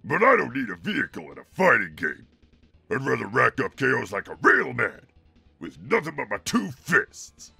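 A huge cartoon monster king with a forceful, gravelly growl. He is boastful, bossy and quick to anger, swinging widely in pitch over background music. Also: huge pitch swings, no room sound, exaggerated voice. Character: big bossy hot-tempered boastful male turtle monster king. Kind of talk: cartoon fan-dub character acting.

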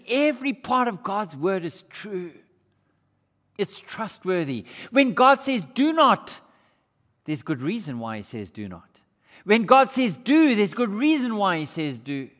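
An older man preaching a sermon in a church, shifting between raised, emphatic passages and hushed, low ones. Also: very animated, a little slow. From Australia. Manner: gestures broadly while preaching. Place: church hall with brick walls. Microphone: far camera. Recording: reverberant church hall.

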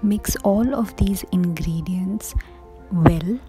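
A woman giving calm, scripted recipe narration as a cooking narrator. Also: on the low side, slow, music in the background.

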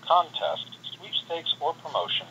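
A man's voice: on the high side, sing-song, unhurried, highs cut off, very noisy. A man reading a scripted automated phone menu, heard over a speakerphone.

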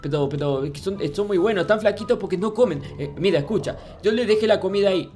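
A man's voice: high-pitched, quick pace, a little mellow. A man playing a naive, childlike, devoted little character in improvised video game roleplay, stammering and pleading. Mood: earnest explaining. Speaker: gameplay roleplay YouTuber. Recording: music behind the voice.

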